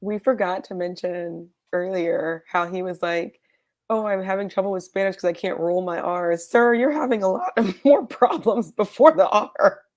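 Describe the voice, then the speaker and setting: A woman's voice: medium pitch, steady tone, normal speed, darkish tone. A woman guest co-host on a reality TV recap, wry and joking.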